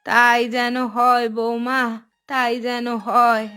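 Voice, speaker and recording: A woman voicing a frail, worried elderly mother-in-law in a Bengali cartoon, with a plaintive, drawn-out delivery. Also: a little high, monotone, bright, forceful tone, faint music behind. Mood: resigned.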